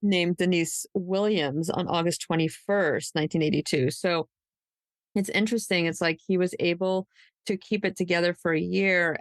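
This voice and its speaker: A woman hosting a true crime podcast, discussing cases conversationally and pausing as she pieces the details together. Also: lowish voice, dry recording, studio quality.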